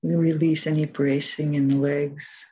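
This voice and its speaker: A woman leading a guided meditation as its teacher, giving gentle, measured instructions with long pauses. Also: sits low, flat delivery, husky, dull audio.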